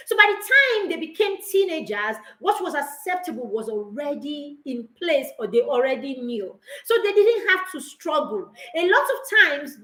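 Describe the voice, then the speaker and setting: Middle-aged woman, parenting mentor, high and bright, emphatic repeats, echoey room. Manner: emphatic, repeats key phrases, asks rhetorical questions. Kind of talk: live talk to parents.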